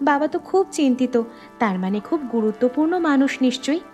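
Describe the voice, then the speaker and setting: A woman's voice: high-pitched, animated, fast talker, darkish tone, soft background music. A woman voicing an eager, caring young daughter in a cartoon story, earnest and helpful.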